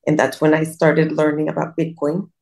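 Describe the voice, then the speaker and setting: A woman's voice: deep voice, monotone, moderate pace, creaky, darkish tone. A middle-aged woman from Colombia, telling her story in a casual interview and rambling with many restarts and self-deprecating asides, over a video call. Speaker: guest.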